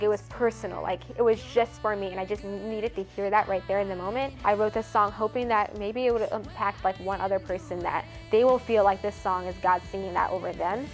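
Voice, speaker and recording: An eighteen-year-old woman from Ethiopia, a college student and singer-songwriter, talking earnestly in a casual interview, full of "like" fillers. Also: mid-range voice. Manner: earnest, chatty, many "like" fillers.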